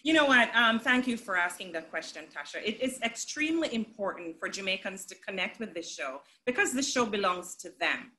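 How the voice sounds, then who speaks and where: A woman's voice: medium pitch, animated, fairly quick, hardly any pauses, sharp tone. A middle-aged woman, a show's creator, speaking enthusiastically about her series in a video-call interview. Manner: enthusiastic, promotional. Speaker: show creator and executive producer.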